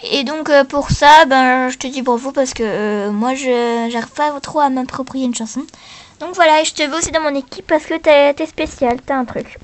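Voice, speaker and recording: Woman playing a high-voiced, hesitant talent-show coach. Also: steady tone, slight background noise, lightly acted. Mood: hesitant, encouraging. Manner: halting, rambling. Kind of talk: improvised role-play of a singing contest.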